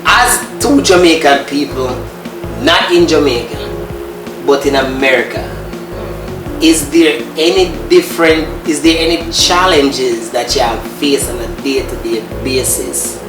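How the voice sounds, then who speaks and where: A man's voice: fairly high pitch, unhurried. A man hosting an interview, asking long questions, repeating himself and laughing. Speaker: host. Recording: echoey room, distant mic, music.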